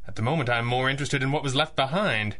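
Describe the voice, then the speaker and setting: A man's voice: mid-range voice, quick pace, a bit bright, close to an everyday voice. A man playing a suave, dry-witted masked crimefighter in a 1930s-style radio drama, with an urbane manner. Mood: businesslike. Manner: urbane, dryly amused, crisp commands.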